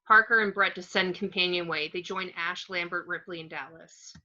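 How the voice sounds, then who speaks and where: A woman's voice: lowish voice, steady tone, normal speed, sharp tone. A woman narrating screenplay action descriptions in an amateur table read, on muffled lo-fi audio.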